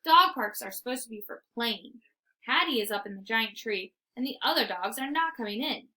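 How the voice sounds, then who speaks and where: Bright, animated woman reading a children's story. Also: a little high, moderate pace. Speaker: read-aloud storyteller. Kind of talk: expressive children's book narration.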